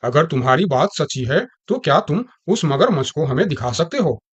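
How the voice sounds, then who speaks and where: A man's voice: fairly high pitch, big pitch swings, fairly quick, slightly rough. A man voicing a doubtful, blunt talking jungle animal in a children's cartoon story. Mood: doubtful, challenging. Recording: music behind the voice. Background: music.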